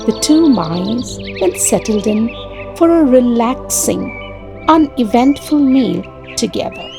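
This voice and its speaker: A woman's voice: fairly high pitch, animated, slow, vocal fry. A woman narrating scripted bedtime stories for young children. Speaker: storyteller. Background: music.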